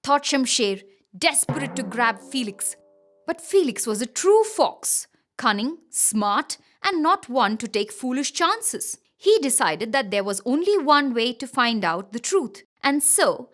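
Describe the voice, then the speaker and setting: Animated woman narrating children's stories. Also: sits high, a little slow. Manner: expressive, dramatic. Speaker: storyteller, narrator. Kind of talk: scripted children's story narration.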